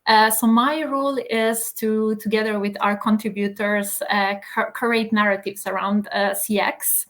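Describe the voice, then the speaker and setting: A woman from Serbia, speaking English as her second language with a Serbian accent, talking as an interview guest in a measured way with many filler pauses. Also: medium pitch, fairly even intonation. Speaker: guest, magazine editor. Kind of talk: casual interview chat.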